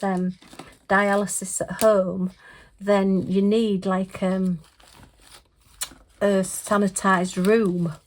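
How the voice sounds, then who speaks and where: A middle-aged woman from England, slightly hoarse from losing her voice, chatting and rambling with fillers while sorting craft supplies at her desk. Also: medium pitch, a little mellow, a little hiss or hum, rough recording. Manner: chatty, rambling, with frequent fillers and light laughs. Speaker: craft vlogger. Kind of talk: casual chat while crafting. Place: home craft room, at a desk. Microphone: overhead camera above the desk, hands only.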